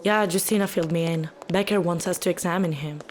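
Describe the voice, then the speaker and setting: A woman's voice: fairly low pitch, fairly even intonation, fast talker, lightly acted. Woman playing a composed doctor, faint background noise. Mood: concerned, earnest. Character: composed female doctor and researcher, concerned and measured. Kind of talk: audio drama dialogue.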